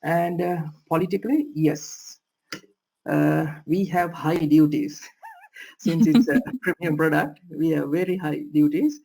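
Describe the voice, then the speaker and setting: Gravelly, husky-voiced man from Sri Lanka, hesitant panelist on a video call. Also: a little high, measured pace, mellow, rough recording. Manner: hesitant, many fillers, laughs now and then. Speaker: panelist, trading company executive. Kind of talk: panel discussion answer.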